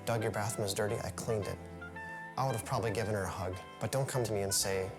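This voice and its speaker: A middle-aged man with a gravelly voice, grumbling in a candid interview. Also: on the low side, fast. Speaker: reality show participant, father. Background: music bed.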